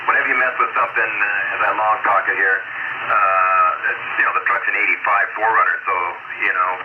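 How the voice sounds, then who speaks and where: A man chatting casually as an amateur radio operator, in a rambling, halting way, heard over a shortwave radio transmission. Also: on the low side, steady tone. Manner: rambling, halting, self-interrupting. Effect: shortwave ham radio transmission. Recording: very noisy, muffled shortwave radio signal, static. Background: loud radio static.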